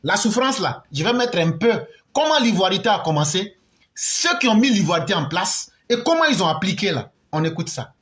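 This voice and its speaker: A man introducing archive clips in an animated commentary for his video channel. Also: sits high, brisk. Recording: roomy echo.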